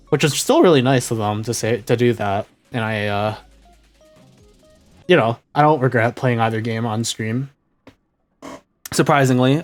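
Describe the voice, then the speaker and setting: A young man chatting casually as a streamer, rambling and correcting himself, often trailing off quietly. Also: mid-range voice. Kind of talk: casual rambling chat while gaming.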